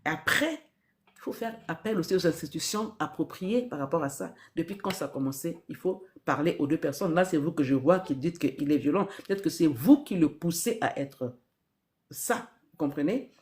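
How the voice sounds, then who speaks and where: A woman's voice: low-pitched, expressive, normal speed, a little mellow. Earnest woman giving spiritual advice. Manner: earnest, repeats key phrases, asks rhetorical questions. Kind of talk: live-stream spiritual advice.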